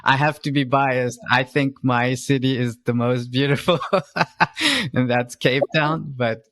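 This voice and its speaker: Male podcast interviewer, mid-pitched, conversational delivery, very dry recording. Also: slow pace, a bit bright, dry recording. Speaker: podcast host.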